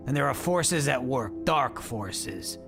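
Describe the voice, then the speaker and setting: A man's voice: mid-range voice, animated, takes it slowly, vocal fry, music in the background. A man playing a tough, cryptic manager in a noir audio drama, commanding and ominous. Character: tough, menacing, cryptic male showbiz manager.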